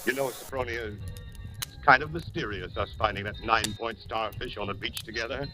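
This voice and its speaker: An actor playing a folksy, forward suitor with a breezy, coaxing manner in a 1940s radio suspense drama. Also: male voice, lowish voice, a little fast, close to an everyday voice. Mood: intimate, musing. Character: folksy, forward suitor, a hotel man from Maine. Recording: hissy old radio recording with music.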